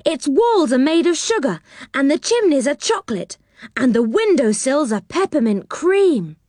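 A woman's voice: sits high, big pitch swings, moderate pace, darkish tone, dry recording, studio quality, pitched above the performer's own voice. A woman voicing an eager young boy in a storybook reading, a little bossy with the other children. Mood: amazed. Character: excited boy eager for sweets.

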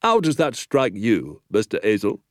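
A man's voice: lowish voice, wildly swooping pitch, normal speed, no room sound, studio quality. A man voicing a stern, formal village police sergeant. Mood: officious. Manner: formal, officious, ungrammatical at times.

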